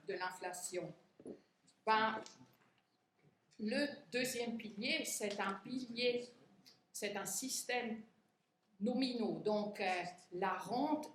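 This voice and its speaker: A middle-aged woman from Switzerland giving a talk in French, which is not her first language, hesitating often with fillers and restarts. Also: medium pitch. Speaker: conference speaker. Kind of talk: lecture to union retirees. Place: conference hall. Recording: echoey hall, faint room noise.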